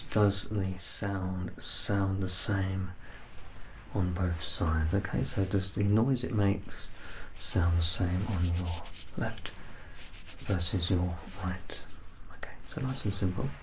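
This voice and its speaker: A man speaking softly and gently, sometimes near a whisper, reassuring the listener as an examiner in an ASMR medical roleplay. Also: deep voice, steady tone, slight background noise. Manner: gentle, reassuring, unhurried instructions.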